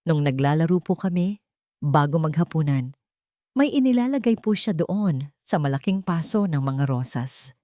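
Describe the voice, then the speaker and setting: A woman's voice: low-pitched, expressive, moderate pace, dark tone, no echo, clean studio sound. A woman storyteller voicing an innocent little girl who speaks in an earnest, simple way.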